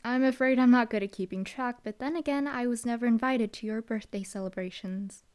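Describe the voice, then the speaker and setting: A woman's voice: fairly high pitch, fairly quick, darkish tone, natural voice. Woman voicing a teasing hellhound bodyguard. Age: young adult. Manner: dry, teasing, laid-back. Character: teasing young female hellhound demon bodyguard. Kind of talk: audio roleplay acting.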